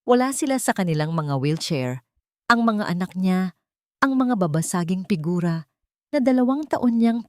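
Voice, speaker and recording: A woman's voice: lowish voice, darkish tone, no room sound, studio quality. A woman reading a scripted dramatic story as a storyteller.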